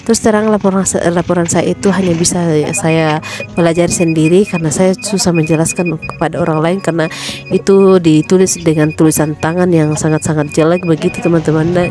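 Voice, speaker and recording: A woman's voice: lowish voice, steady tone, a little fast, music in the background. A woman with a rural Indonesian accent, chatting casually to her viewers about running her small shop, with many fillers and asides to her friends. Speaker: small shop owner running a banking agent. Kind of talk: casual vlog narration.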